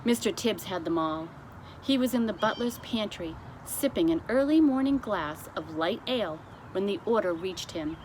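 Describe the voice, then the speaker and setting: A woman reading a children's story aloud as scripted narration, with deliberate, expressive phrasing. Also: medium pitch. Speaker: storybook reader. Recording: clearly noisy amateur recording.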